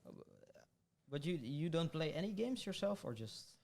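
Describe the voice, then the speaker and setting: Halting man hosting. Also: on the high side, big pitch swings, creaky, darkish tone. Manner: halting, stumbles over words. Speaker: podcast host. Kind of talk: casual interview questions.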